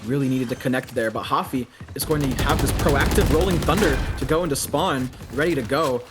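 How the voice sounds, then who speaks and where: A man giving live play-by-play commentary on a video game match, excitable on big plays and analytical between them. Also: medium pitch, brisk, very noisy.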